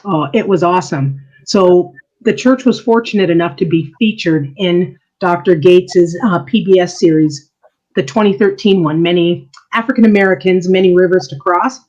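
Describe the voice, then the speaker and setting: A woman's voice: on the low side, moderate pace, darkish tone. A woman historian explaining church history in an interview, earnest and laughing easily. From Canada. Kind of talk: casual interview answers. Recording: dull lo-fi sound.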